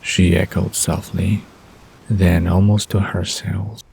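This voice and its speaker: A man narrates a bedtime story in a very deep, mellow voice. He reads slowly and evenly, with soft guitar music behind him. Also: flat delivery, gravelly, slightly rough.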